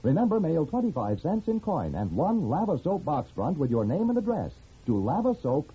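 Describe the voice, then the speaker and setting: A male American announcer makes an urgent 1940s radio sales pitch, swinging widely in pitch. His voice is very dark and husky on a dull old recording. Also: wildly swooping pitch, normal speed. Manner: urgent selling delivery. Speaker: commercial announcer. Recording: dull, husky-sounding vintage radio broadcast.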